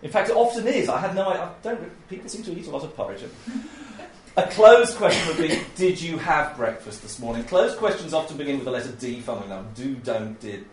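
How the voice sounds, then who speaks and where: A man with a loud voice giving an informal training talk on interviewing, chatty and often laughing as he acts out example questions. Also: a little high, animated, a little fast, slight background noise. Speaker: oral history trainer. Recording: echoey room.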